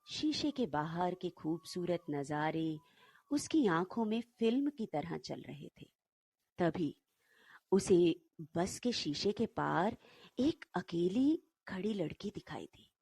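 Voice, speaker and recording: A woman narrating a short story in an unhurried, expressive, dramatic storytelling manner. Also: lowish voice, husky, no echo.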